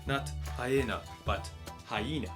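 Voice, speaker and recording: A man with an American accent explaining and recommending in a clear, instructive way, using simple wording, as host of a children's learning channel. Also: medium pitch, takes it slowly, over music. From United States. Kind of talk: explanatory book recommendation and picture-book read-aloud.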